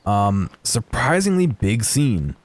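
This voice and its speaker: A man giving casual, unscripted video game commentary in a halting, hesitant way. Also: mid-range voice, very animated, dry recording. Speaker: gaming video creator. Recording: voice-over with game music behind.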